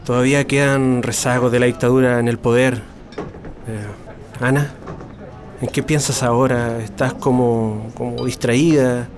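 An actor playing an uneasy middle-aged Chilean father back from exile in a radio drama, halting and wistful. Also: mid-range voice, fairly even intonation, slight background noise, natural voice. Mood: pensive, wary.

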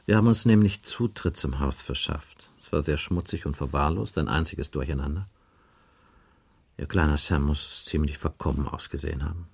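An actor playing a stern detective inspector in a German radio crime drama, questioning in an insistent, clipped, probing manner. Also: male voice, a bass voice, normal speed, creaky, slightly rough, full character voice. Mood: dry, grave.

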